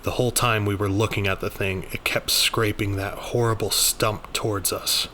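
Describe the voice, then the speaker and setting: Low, bright-edged man narrating horror. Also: level tone, moderate pace, slight background noise. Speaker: story narrator. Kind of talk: scripted first-person horror narration.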